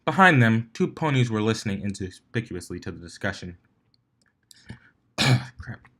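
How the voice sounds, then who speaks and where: Low-voiced man reading a story aloud. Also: very animated, normal speed, fairly bright tone. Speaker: fan fiction reader. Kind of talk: scripted story narration.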